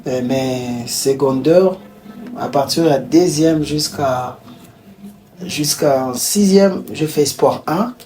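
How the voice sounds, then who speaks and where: A man's voice: on the high side, slow pace, mellow. A man with a Congolese accent, a musician being interviewed, chatting informally and often repeating his phrases. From Bukavu, DR Congo. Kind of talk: casual chat mixing French and Swahili. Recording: noticeable background noise.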